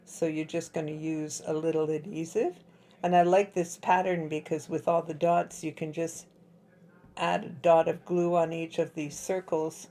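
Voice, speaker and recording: A woman with a slightly hoarse, husky voice, chatting through a step-by-step craft tutorial at home. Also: deep voice, mellow. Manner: chatty, explains while working with her hands. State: slightly hoarse from dry air.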